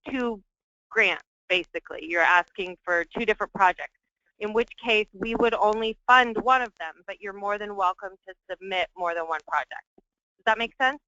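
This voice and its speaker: A woman with a sharp, bright voice explains things as a staffer on a conference call. The sound is dry and somewhat muffled, like low-fi call audio. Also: no echo. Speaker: grant program staff member. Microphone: conference call line.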